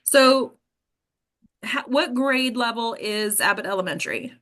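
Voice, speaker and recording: A woman's voice: a little high, unhurried, fairly bright tone. An American woman giving casual, direct coaching advice as a host, often checking in with the listener as she goes. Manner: direct, emphatic, checks in with "right?" and "okay". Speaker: host and acting coach leading an online discussion.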